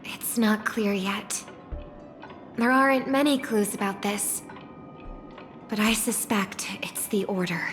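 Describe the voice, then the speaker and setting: An actress voicing a poised, graceful young singer in a video game, speaking in a measured, formal and polite way. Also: female voice, mid-range voice, fairly bright tone. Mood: reflective. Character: poised, graceful young female singer, gentle but discerning. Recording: music behind the voice.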